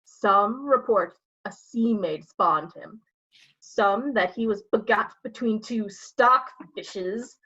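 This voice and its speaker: A woman acting a bawdy, slanderous gentleman rogue in a staged Shakespeare reading, with a cheeky, insinuating, theatrical delivery. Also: mid-range voice, slow, breathy edge, highs cut off, heavily acted. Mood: mocking, gossiping.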